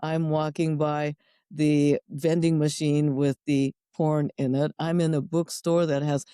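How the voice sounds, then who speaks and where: Middle-aged Japanese-accented woman guest; warm low-key tone, wry, measured delivery. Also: flat delivery, clear, resonant tone, dry recording, studio quality. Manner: thoughtful, wry, list-like phrasing. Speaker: guest sexologist and educator. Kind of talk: conversational interview answers.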